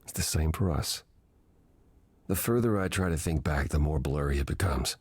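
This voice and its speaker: Deep, gentle, ancient god. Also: male voice, slightly rough, no room sound, heavily acted. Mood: gentle, reflective. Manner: measured, reflective, explanatory. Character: ancient weary god reborn in a man, gentle patient mentor. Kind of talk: video game voice acting.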